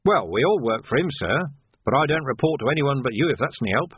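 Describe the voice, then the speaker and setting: A shrewd police sergeant as a male reader plays him in an audiobook, husky and lively in pitch. He stays tactful and deferential on the surface, choosing his words with care. The recording is thin and phone-like. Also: no echo. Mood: deferential, coaxing. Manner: measured, politely coaxing. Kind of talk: audiobook character voice. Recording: narrow, phone-like band.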